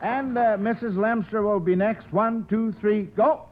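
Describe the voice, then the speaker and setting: A man hosts a 1940s radio quiz in a high voice. He chuckles often and repeats his phrases. The sound is tinny and narrow, with light noise. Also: measured pace. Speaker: quiz show host. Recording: noisy, tinny old radio broadcast.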